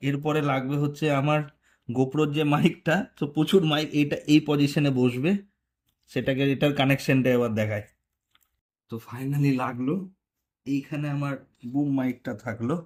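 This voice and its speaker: A man's voice: medium pitch, steady tone, moderate pace, a little mellow. A man narrating a hands-on motorcycle vlog tutorial casually, talking viewers through each step in an informal way. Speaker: motorcycle vlogger.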